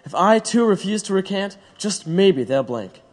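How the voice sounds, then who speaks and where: A man's voice: high-pitched, expressive, normal speed, darkish tone. A man playing a principled, earnest condemned American prisoner who stands firm in an audio drama. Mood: resolute. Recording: reverberant room.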